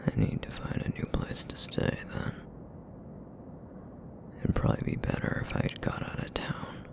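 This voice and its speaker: A man voicing a broody, feral essence eater in an ASMR roleplay, with a raspy, rumbling murmur and a weary, guarded, reluctant manner. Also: close to an everyday voice. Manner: brooding, reluctant, slow and terse.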